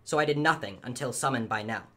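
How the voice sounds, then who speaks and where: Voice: mid-range voice, fast talker, pushed and bright. A performer voicing a literal-minded, unemotional artificial entity in a radio play, speaking formally and precisely. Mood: calm, factual. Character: literal-minded artificial quantum entity assistant named Peter.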